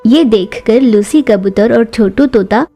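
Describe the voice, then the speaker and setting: A woman's voice: fairly high pitch, a little slow, dark tone. A woman narrating a scripted children's cartoon story.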